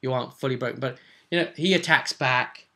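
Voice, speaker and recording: A man's voice: mid-range voice, brisk, pushed and bright. A man chatting casually as a game master, recapping a tabletop role-play session and acting out bits as he goes.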